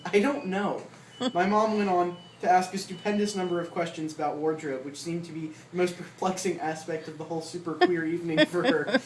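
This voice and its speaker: An informal reader works through a comic memoir aloud, mid-pitched and given to asides. The voice sits at a distance from the mic in an echoey room, with clear noise in the background. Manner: informal, breaks off with asides and chuckles.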